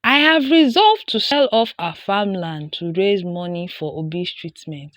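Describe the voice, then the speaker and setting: A woman's voice: mid-range voice, expressive, a little slow, fairly bright tone, no room sound. A woman voicing a poor, devoted village father in a folktale, earnest and grave. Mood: worried resolve. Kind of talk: acted folktale storytelling.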